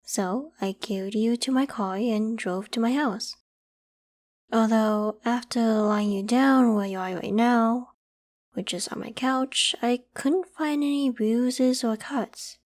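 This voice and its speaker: A woman voicing a polite, protective young female werewolf with a secret, in a gentle, reassuring ASMR roleplay. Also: on the high side, slow. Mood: caring, inquisitive. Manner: gentle, reassuring, hesitant when evasive. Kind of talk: ASMR roleplay, acted one-sided conversation.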